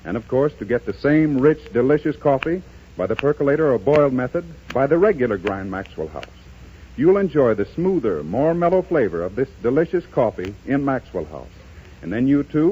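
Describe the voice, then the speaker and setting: A man's voice: mid-range voice, very animated, a little fast, lo-fi sound, a little hiss or hum. An American man reading a scripted 1930s radio advertisement as announcer, earnest and emphatic like a salesman, on a vintage broadcast recording.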